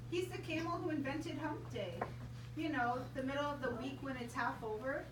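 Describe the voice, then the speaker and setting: A woman's voice: high-pitched, normal speed, natural voice. A woman voicing a vain, chatty camel in a puppet show, matter-of-fact and pleased with itself. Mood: chatty, proud. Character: vain, chatty camel who spits proudly. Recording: echoey, noisy room from far off.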